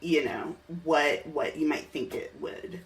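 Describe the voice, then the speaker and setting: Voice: mid-range voice, unhurried. A podcast host interviewing a guest in casual, enthusiastic, chatty conversation. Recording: slightly distant mic, music underneath.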